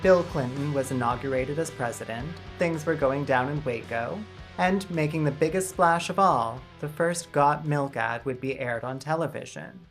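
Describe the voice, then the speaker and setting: A woman's voice: a bass voice, moderate pace, few pauses, faint music behind. A Canadian woman hosting a scripted comedic video essay with dry, mock-dramatic delivery. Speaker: video essayist and channel host.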